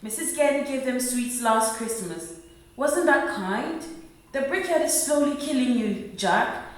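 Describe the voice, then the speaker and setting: A woman's voice: sits high, animated, a little slow. A woman playing a worried, poor wife and mother in a radio play, earnest and reproachful. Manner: earnest, reproachful, repeating her husband's name. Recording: very echoey room.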